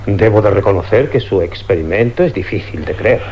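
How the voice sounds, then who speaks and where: An actor playing a skeptical, impatient police commissioner in a suspense radio drama, formal and probing, with an accent from Spain. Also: male voice, lowish voice, expressive, fairly quick, natural voice. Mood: incredulous, alarmed. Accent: Spanish, from Spain. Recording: dull, noisy old radio recording with hum.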